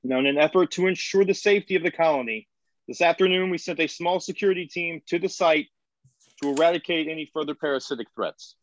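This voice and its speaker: An actor playing an earnest colony leader trying to keep control in a screenplay table read, measured and placating. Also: male voice, fairly high pitch, dull audio, natural voice. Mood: solemn, official.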